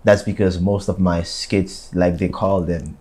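A young man with a Haitian accent, talking casually as a guest in a studio interview, full of halting restarts and "you know" fillers. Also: low-pitched, flat delivery, warm tone. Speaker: comedian guest. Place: small decorated studio set indoors.

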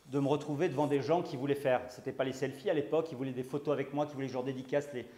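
A man in his thirties giving a lecture in a library room, earnest and halting, with many restarts and repeated words. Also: medium pitch, a little mellow. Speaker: conference speaker. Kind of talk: lecture talk. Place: library room with bookshelves, indoors. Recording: echoey room from a distance.

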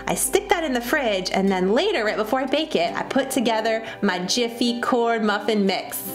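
A woman's voice: on the high side, lively intonation, normal speed. A woman from the Midwest in her thirties, narrating cooking steps in a cheerful, chatty voice-over. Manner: cheerful, chatty, walks through recipe steps. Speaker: cooking channel host. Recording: voice-over under loud music. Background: background music.

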